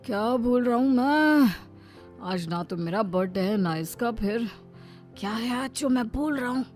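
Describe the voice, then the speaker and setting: A woman's voice: a little high, expressive, unhurried, darkish tone, faint music behind. A woman voicing a tired, dismissive young husband in a cartoon story, speaking in an exasperated, defensive manner. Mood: weary, puzzled.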